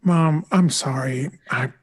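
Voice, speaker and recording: A high-voiced performer playing a guarded, wry young adult son in an American audio drama, with a husky, earnest delivery. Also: slow. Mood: apologetic.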